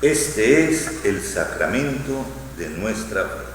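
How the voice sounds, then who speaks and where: Echoing, low-voiced priest praying solemnly. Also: male voice, big pitch swings. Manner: solemn, measured, phrase by phrase. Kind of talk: liturgical prayer recitation. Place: large church interior. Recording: echoing church hall, long reverb, faint noise.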